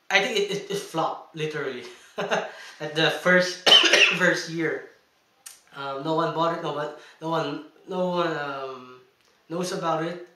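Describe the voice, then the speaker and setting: A man's voice: a little high, pushed and bright, recorded from a distance. A young man, a Tagalog speaker, chatting emphatically in a casual video game review and laughing between points, recorded indoors. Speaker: gaming vlogger. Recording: echoey room, mic set back.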